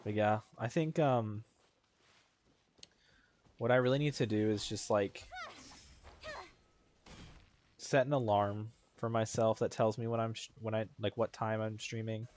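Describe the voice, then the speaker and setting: A man doing casual, informal gameplay commentary on a livestream, thinking out loud and swearing freely. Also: lowish voice, fairly even intonation, normal speed, a little hiss or hum. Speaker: game streamer. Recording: game music behind the voice. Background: video game music.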